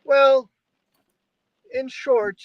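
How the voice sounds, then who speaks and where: A man's voice: very high voice, steady tone, drawn-out words, no room sound. Young man from Turkey, dull dry sound. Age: 20s. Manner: conversational storytelling with frequent fillers. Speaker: guest journalist. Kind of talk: livestream interview. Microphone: video call.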